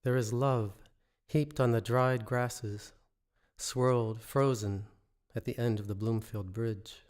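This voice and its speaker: A man reads his own poetry in a measured, deliberate way, pausing between short phrases. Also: medium pitch, steady tone, darkish tone. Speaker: poet reading own work. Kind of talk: poetry reading. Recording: musical score under the voice. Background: original instrumental music.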